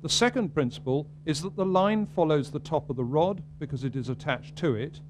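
A man's voice: a little high, lively intonation, fairly quick, darkish tone. A middle-aged man, giving a methodical, explanatory fly-casting demonstration outdoors. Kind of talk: instructional demonstration to camera. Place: outdoors on a riverbank. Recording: noisy outdoor video sound with hum.

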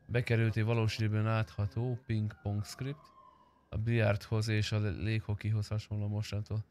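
A man's voice: on the low side, completely flat delivery, a little mellow. A young man chatting casually while streaming a roleplay game on a close microphone. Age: young adult.

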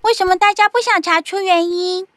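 A woman's voice: very high voice, steady tone, fairly quick, dry recording. A woman voicing a worried, curious young goat kid in a children's cartoon. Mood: curious, determined.

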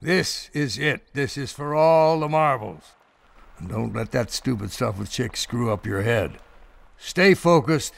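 A man playing a gruff old mentor car in an animated game, stern but supportive, gravelly, with an American accent. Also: medium pitch, a little slow, dry recording. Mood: earnest coaching. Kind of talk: animated game cutscene acting.

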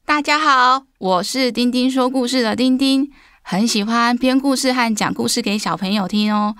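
A woman speaks as a guest storyteller on a children's podcast. Her voice is fairly high and crisp, recorded dry with no room sound. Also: normal speed, a bit bright, no room sound. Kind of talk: friendly podcast chat for children.

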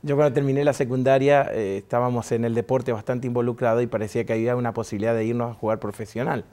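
A middle-aged man speaks Spanish with an Argentine accent, joking and laughing as he tells his story. His voice sits at an ordinary male pitch. He sounds clean and close through a lapel mic on a TV studio set. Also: steady tone, quick pace, mellow. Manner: jovial, laughs often, chatty with restarts. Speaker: pastor and guest. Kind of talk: relaxed interview storytelling.

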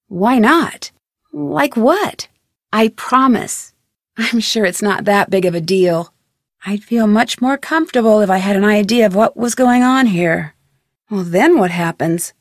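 An American actress playing a curious, polite, plucky young woman detective in a video game, speaking scripted lines in a clear, earnest way. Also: on the high side, dry recording, clean studio sound.

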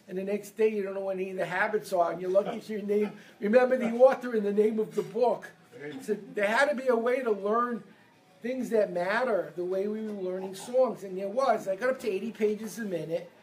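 A man from Brooklyn, giving a lively motivational seminar talk and getting the audience to answer back. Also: sits high, a little hiss or hum, low-quality recording. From Brooklyn, New York. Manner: energetic, leads audience call-and-response. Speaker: seminar speaker. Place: event room with a live audience.

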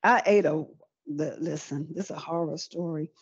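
A woman's voice: deep voice, moderate pace, breathy edge, no room sound. Middle-aged woman demonstrating, dull call audio. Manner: chatty, repeats phrases for emphasis. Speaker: cooking demonstrator. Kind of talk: live online cooking demonstration, conversational teaching. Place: indoor room with folding screen, demo table.

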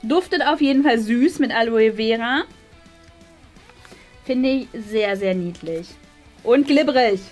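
A woman's voice: fairly high pitch, measured pace, pauses often, a little mellow, faint music behind. A woman in her thirties hosting a vlog in casual chat, enthusiastic and gushing about things. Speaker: vlog host.

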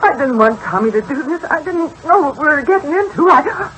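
A woman's voice: high-pitched, lively intonation, fairly quick, fairly bright tone, a little hiss or hum. An actress playing a poor, anxious, evasive mother in an old radio drama, hesitant and pleading.

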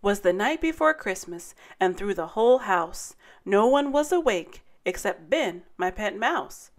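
A woman hosting story time, reading a rhyming children's picture book aloud in a dramatic, deliberate way. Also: mid-range voice, expressive. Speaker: story time host and narrator.

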